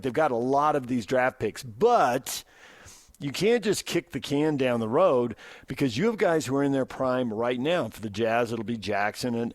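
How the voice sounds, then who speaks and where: A man's voice: mid-range voice, no room sound. An American man talks sports as a radio host in a casual, emphatic way. His voice sits in a middle register, and the studio sound is close and dry. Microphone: close studio microphone.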